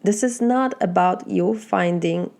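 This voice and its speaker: A woman from Poland with a Polish accent, speaking as a life coach in a motivational talk and asking slow, earnest, reflective questions. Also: on the low side, darkish tone.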